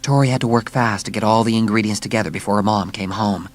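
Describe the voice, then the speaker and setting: A man's voice: on the low side, fairly even intonation, quick pace. A man narrating a dramatised children's story with scripted, dramatic storytelling.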